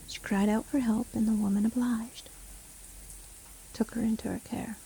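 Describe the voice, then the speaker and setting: A woman playing a seemingly kindly, sly witch who lives alone in a forest, speaking gently and unhurriedly in a fantasy audio roleplay. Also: a little high, level tone, normal speed, creaky, slightly rough, full character voice, rough recording. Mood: hushed storytelling. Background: rain storm ambience.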